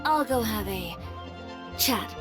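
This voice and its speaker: A woman's voice: on the high side, expressive, slow pace, over music. A woman playing a bold, cool, confident young woman in a video game, with a clipped, sardonic delivery. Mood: cool, determined.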